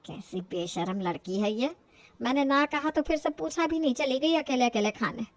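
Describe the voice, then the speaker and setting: A stubborn elderly mother-in-law played by a woman in an audio storybook. She is high-voiced and indignant, grumbling and scolding as she goes. The recording is dry and close. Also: expressive, normal speed, no room sound. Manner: indignant, grumbling, talks to herself.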